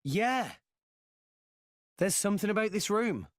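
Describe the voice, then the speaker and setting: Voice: medium pitch, lively intonation, measured pace, no echo, studio quality, natural voice. An actor playing a curious young companion in a game, speaking in a plain, conversational way. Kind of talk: voiced game cutscene acting.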